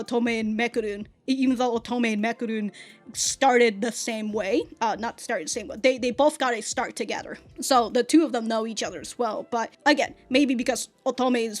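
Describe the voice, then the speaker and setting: A woman talking through her thoughts on an anime episode in a relaxed, chatty way. Her voice sits a little high, and she drops in slang, swearing and easy laughter as she goes. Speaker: anime reaction host. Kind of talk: casual commentary.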